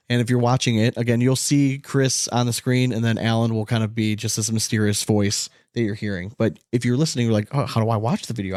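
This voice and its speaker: A man's voice: medium pitch, darkish tone, dry recording. Casual male podcast host, close dry sound. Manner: informal, lightly profane.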